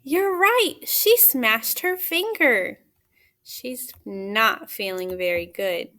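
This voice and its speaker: A woman reading a picture book aloud as a teacher, pausing to put simple questions to young children. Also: fairly high pitch, expressive, sharp tone. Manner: simple questions with pauses for answers.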